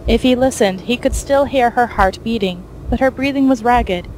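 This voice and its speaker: A woman's voice: fairly high pitch, normal speed, darkish tone, a little hiss or hum, poor audio. A woman reading scripted fiction as the narrator of an audio story.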